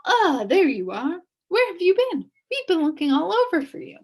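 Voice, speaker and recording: A woman's voice: extremely high pitch, big pitch swings, normal speed, voice pushed up, full character voice. A woman voicing a flustered royal advisor in a storybook, bustling and insistent. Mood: excited, hurried. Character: flustered, hurried royal advisors summoning guests to banquet.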